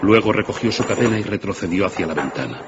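A man's voice: lowish voice, lively intonation, fast talker. A man narrating a scripted dramatic story as the narrator of a radio drama. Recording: muffled, loud background ambience and noise.

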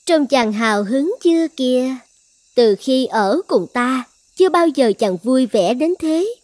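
Woman acting high-voiced proud dragon goddess. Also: animated, unhurried, darkish tone, no echo. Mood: tender, concerned. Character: proud dragon goddess, devoted wife turned vengeful. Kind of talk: dubbed cartoon fairy-tale acting.

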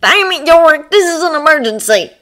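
Storybook Texan airman, performed by a woman: folksy, courteous, quick to argue, lifted animated delivery. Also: medium pitch, pitched above the performer's own voice. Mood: urgent, frank. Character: plain-spoken, polite, argumentative Texan man, an aeronaut.

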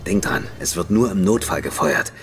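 An actor in an audio drama playing a tough, commanding spaceship officer under siege. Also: male voice, low voice, expressive, moderate pace, a little hiss or hum. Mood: steady, commanding.

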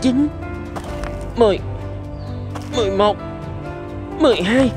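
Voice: mid-range voice, slow pace, music in the background, natural voice. An actor voicing a determined, grateful little boy in a cartoon, speaking with effort. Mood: determined, straining. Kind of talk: Vietnamese cartoon dubbing.

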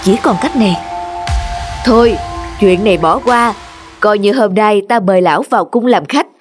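A woman voicing an imperious, haughty king in an animated folk tale, pompous and commanding. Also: mid-range voice, moderate pace, close to an everyday voice. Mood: musing, magnanimous. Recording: music under the voice.

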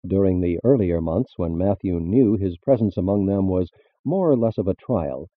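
A man reading a scripted religious narration in a formal, measured manner. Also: deep voice, animated, no room sound. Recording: muffled phone-like band-limited audio.

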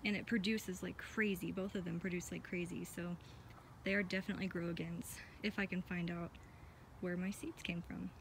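A woman's voice: on the low side, little pitch movement, moderate pace, slight background noise, low-quality recording. An American woman giving a casual, chatty and enthusiastic walk-through narration as a vlogger, recorded outdoors on a handheld phone. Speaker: vlogger giving a garden tour. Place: outdoors in a backyard garden. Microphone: handheld phone behind the camera.

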